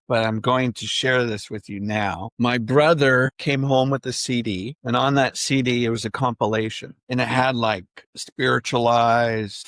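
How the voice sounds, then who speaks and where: Male podcast host, friendly questions, mid pitch, dry close mic. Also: a bit bright, dry recording. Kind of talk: interview questions and casual chat.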